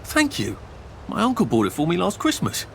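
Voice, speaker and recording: A man's voice: high-pitched, huge pitch swings, moderate pace, creaky, a little hiss or hum, exaggerated voice. A man with an English accent playing a polite, timid, wry everyman hero who mutters dry, self-deprecating remarks in a video game. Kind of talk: video game cutscene acting.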